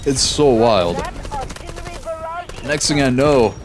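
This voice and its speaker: A young man live-streaming a shooter game, chatting casually with his viewers and reacting quickly during fights. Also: mid-range voice, lively intonation, music in the background. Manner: easygoing, chatty, reads chat aloud, quick reactions mid-fight. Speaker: gaming streamer. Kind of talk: casual live-stream chat while playing.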